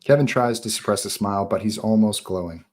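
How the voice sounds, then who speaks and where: A man's voice: fairly low pitch, a little fast, a little mellow. A man narrating stage directions from a film script at a live table read.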